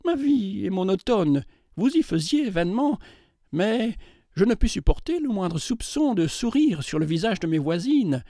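A man's voice: high voice, sing-song, unhurried, dark tone, no room sound, clean studio sound, pitched above the performer's own voice, full character voice. Man putting on a flustered old schoolmistress. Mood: anxious. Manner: flustered, pleading, breathless. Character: timid aging spinster schoolteacher woman.

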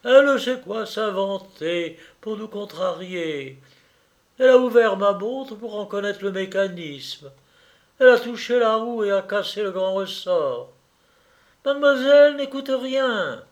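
A man's voice: high-pitched, lively intonation, measured pace, voice pushed up. A man voicing a peevish, scolding spinster in a storybook reading, exclaiming and complaining indignantly.

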